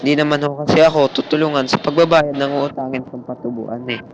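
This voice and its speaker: A man's voice: mid-range voice, steady tone, quick pace, close to an everyday voice, poor audio. A man playing a thrifty young Filipino son-in-law in a radio drama, polite but stubborn and reasoning defensively. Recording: faint background noise.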